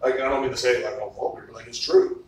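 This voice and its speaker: A man's voice: medium pitch, fairly even intonation, fast. Man in his thirties, distant and echoey. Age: 30s. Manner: loose, profane storytelling with frequent "like". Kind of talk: casual chat. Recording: echoey room, far from the mic, faint noise.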